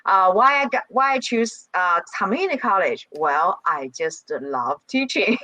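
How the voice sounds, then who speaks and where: A woman professor from China with a Chinese accent, introducing herself on a video call in a cheerful, halting way with many fillers and laughs. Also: medium pitch, lively intonation, sharp tone, no room sound, poor audio. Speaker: geography professor. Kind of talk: online workshop introduction.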